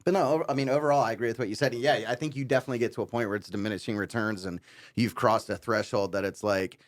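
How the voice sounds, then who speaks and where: A man's voice: on the low side, fairly even intonation, rapid-fire. A man co-hosting a casual panel discussion, speaking informally and candidly. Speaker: podcast co-host.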